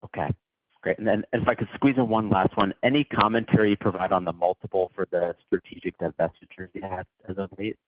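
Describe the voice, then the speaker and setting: A male financial analyst phones in with questions, polite and informal. His voice is deep. The connection is dry and narrow, with no room sound. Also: fairly even intonation, brisk, no room sound. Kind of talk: spoken investor questions. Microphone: conference phone call.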